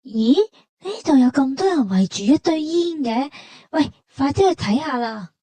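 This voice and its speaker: A woman with a Hong Kong Cantonese accent narrates a travel vlog. Her voice is fairly high and mellow, conversational as she explains things, and the recording is dry. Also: lively intonation, moderate pace, no room sound. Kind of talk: scripted travel narration and dialogue.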